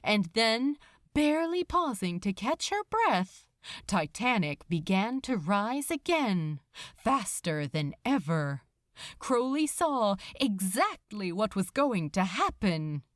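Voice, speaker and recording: A woman reading a story aloud as dramatic narration, theatrical and suspenseful with deliberate emphasis. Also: mid-range voice, sing-song, dry recording, studio quality. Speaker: podfic narrator.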